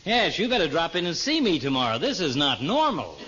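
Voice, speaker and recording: A pompous, sardonic doctor in a vintage 1940s radio comedy. His voice sits fairly high, dealing out dry insults through heavy static on a muffled old recording. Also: wildly swooping pitch, brisk. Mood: droll. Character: droll, sardonic family doctor trading insults.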